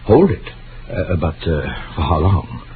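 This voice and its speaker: A man's voice: low voice, sing-song, measured pace. An actor playing a fussy, unctuous middle-aged mortician in an old-time radio drama, hesitant and solicitous like a salesman. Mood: flustered. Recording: tinny, noisy old radio recording.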